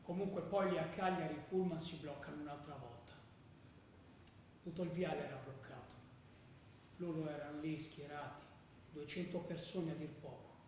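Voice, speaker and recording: An actor playing a fervent, excitable football ultra telling of street brawls in a radio play. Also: male voice, fairly high pitch, moderate pace, long pauses, slight background noise, lightly acted. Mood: tense recounting. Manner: excitable, emphatic, bursts into shouting. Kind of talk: dramatised first-person narration, radio play. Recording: very echoey room.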